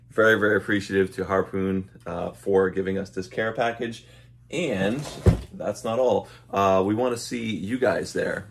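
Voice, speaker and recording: A man in his thirties talking casually to camera as a vlogger, easygoing and a little hesitant, recorded at home. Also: sits low. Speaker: vlogger and dog owner. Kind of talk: casual unboxing chat to camera. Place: small room at home, indoors. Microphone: camera mic at arm's length.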